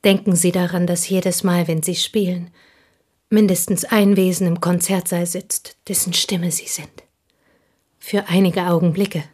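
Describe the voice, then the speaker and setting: An actress in a German radio play, playing an intense, embittered provincial Frenchwoman making a fervent, halting confession in a husky, breathy voice. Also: lowish voice, level tone, a little mellow. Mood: solemn, yearning. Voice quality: husky, breathy, dropping into whispers. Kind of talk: German radio play acting, dramatic monologue.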